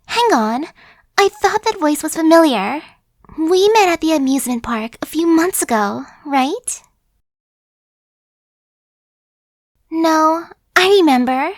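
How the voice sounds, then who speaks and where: A woman's voice: high-pitched, animated, a little slow, no echo, clean studio sound. A woman voicing a chatty, friendly female zombie who coaxes and persuades in a casual, sly way, in an ASMR roleplay. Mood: coaxing.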